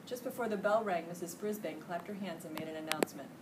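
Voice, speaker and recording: A middle-aged American woman reading a children's storybook aloud with expressive, emphatic delivery. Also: lowish voice, fast. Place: indoor school classroom. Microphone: distant camera microphone. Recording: distant camera mic, noisy room.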